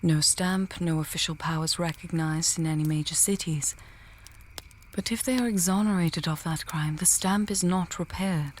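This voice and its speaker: A woman playing an earnest, scholarly female mage in a fantasy audio drama, measured and thinking aloud, dryly testy. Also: fairly low pitch, a little hiss or hum, close to an everyday voice. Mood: intrigued.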